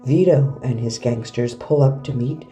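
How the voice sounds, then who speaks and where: A man's voice: medium pitch, normal speed, warm tone, lightly acted. A man acting as the dramatic narrator of a superhero audio drama, reading scripted narration. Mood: dramatic, solemn. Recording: faint music behind the voice.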